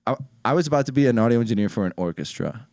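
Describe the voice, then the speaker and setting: A man's voice: medium pitch, expressive, racing, a little mellow, dry recording. A man from Ecuador chatting casually as a podcast guest, often repeating and rephrasing his words, close on a studio microphone. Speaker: podcast guest, music producer. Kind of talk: casual chat. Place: small indoor podcast studio. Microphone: close studio microphone on a stand.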